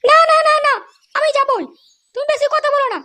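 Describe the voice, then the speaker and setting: A woman's voice: very high-pitched, brisk, bright, forceful tone, a little hiss or hum. A woman voicing a bossy, insistent young wife who can sweet-talk or scold coarsely, in a crude comedy cartoon. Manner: insistent, nagging, coarse when angry.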